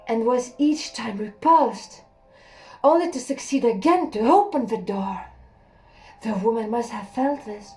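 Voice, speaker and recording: A young woman reading a literary story aloud in a slow, hushed, measured way, with an occasional slip. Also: a little high, low-quality recording. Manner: slow, hushed and measured, with a few slips and laughs. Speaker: audiobook reader.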